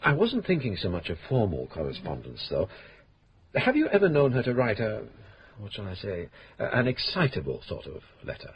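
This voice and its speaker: An actor playing a polite, probing police inspector in a radio drama, courteous and measured. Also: male voice, on the low side, wildly swooping pitch, slight background noise, poor audio. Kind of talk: 1970s-80s radio drama acting.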